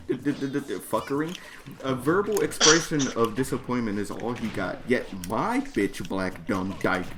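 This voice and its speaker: A man telling a personal story in an emphatic, exasperated way, with plenty of swearing and slang. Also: mid-range voice. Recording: clearly noisy background.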